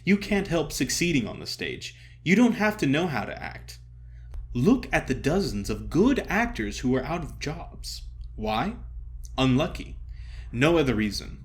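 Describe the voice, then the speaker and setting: A man voicing an effusive, theatrical older stage comedian who cajoles and flatters grandly in an audio story. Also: a little high. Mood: persuasive. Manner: emphatic, drawn-out address, grand pronouncements.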